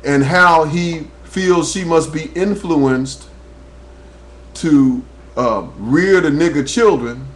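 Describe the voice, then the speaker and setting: A man's voice: on the high side, slow pace, poor audio. A man from New Jersey, a talk radio host, speaking an earnest, preacher-like webcam monologue that rises as he tells his own story. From New Jersey, USA. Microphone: webcam microphone.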